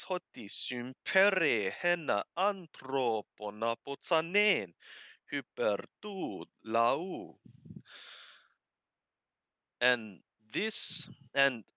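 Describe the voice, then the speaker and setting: A man reading scripture aloud and translating it phrase by phrase, in a deliberate, halting way with careful enunciation. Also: mid-range voice, pushed and bright, clear, resonant tone, no room sound, dull audio. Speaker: Bible reader and translator.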